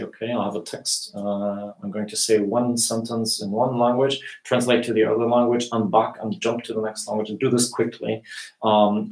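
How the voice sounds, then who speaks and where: Gravelly-voiced man teaching from home. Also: fairly low pitch. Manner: relaxed, thinking aloud with many fillers. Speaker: language teacher. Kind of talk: live Q&A lesson. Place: small room indoors. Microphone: webcam. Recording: echoey room.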